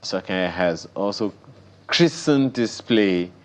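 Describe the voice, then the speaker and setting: A man's voice: lowish voice, expressive, slow pace. A man with a Nigerian accent hosting a television morning show, chatting in a teasing, joking way. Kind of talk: live television interview chat.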